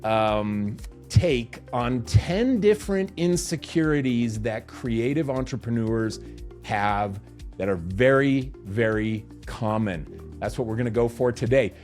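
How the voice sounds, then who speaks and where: A man's voice: mid-range voice, slow, darkish tone, faint music behind. A man co-hosting a podcast in a studio, chatting casually and joking, often laughing. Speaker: podcast co-host. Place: recording studio.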